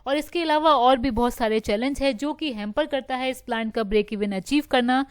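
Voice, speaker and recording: Woman, smooth clear voice, dry close recording, stock news host. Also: high-pitched, brisk, dry recording. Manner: mixes English phrases into Hindi, steady explanatory delivery. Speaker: stock market video host. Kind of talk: scripted market news explainer.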